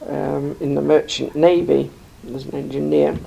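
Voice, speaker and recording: An older woman from England, slowly recalling her life in an interview, rambling with many pauses and fillers. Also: sits low, flat delivery, gravelly, warm tone, dull audio, slight background noise. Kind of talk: oral history recollection.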